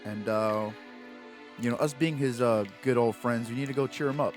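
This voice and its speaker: A man's voice: medium pitch, moderate pace, soft background music. A man doing casual let's play commentary, joking and slangy as he streams.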